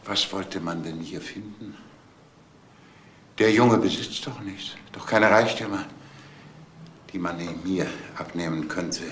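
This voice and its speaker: An older man acting a shaken, grieving uncle in a crime drama, speaking in a halting, incredulous way. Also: deep voice, a bit bright. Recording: echoey room with faint background noise.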